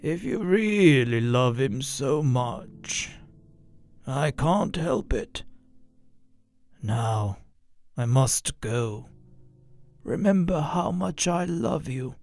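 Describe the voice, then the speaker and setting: A man voicing the gentle ghost of an old father, talking softly and tenderly. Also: medium pitch, very animated, gravelly, faint music behind, exaggerated voice. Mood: resigned. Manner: soft, tender, unhurried.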